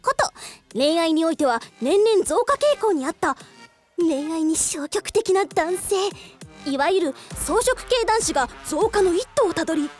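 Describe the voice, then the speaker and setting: A woman's voice: extremely high pitch, sing-song, unhurried, darkish tone, soft background music, heavily acted. A woman playing a breezy, officious government moderator, announcing politely and theatrically in a radio drama. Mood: grand narration. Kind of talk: radio drama narration and acting.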